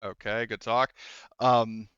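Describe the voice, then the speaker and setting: Man, game master, improvised narration, halting, casual, very dry sound, slightly dull audio. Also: lowish voice, little pitch movement, fairly bright tone, clear, resonant tone, no echo. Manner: rambling, halting.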